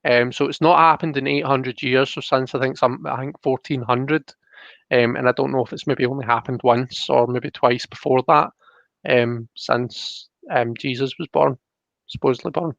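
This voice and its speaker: A young man chats as a live stream host, with an ordinary mid-pitched voice. He rambles and thinks aloud in a casual way. The sound is dry and slightly dull. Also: steady tone, normal speed, vocal fry, a bit bright, no echo. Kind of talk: casual chat, reading out viewer comments.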